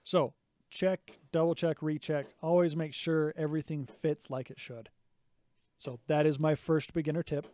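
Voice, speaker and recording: Slightly hoarse man, mellow podcast voice. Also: fairly high pitch, level tone, normal speed, dry recording. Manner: chatty, explains step by step with restarts. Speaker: podcast co-host. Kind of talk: casual hobby podcast chat. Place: small home workshop indoors. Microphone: studio mic on boom arm, close. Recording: dry close podcast mic.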